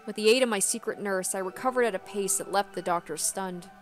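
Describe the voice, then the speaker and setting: A woman with a medium-pitched voice gives a dramatic reading of a first-person story. Faint music runs behind her narration. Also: a little fast. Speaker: gaming video host.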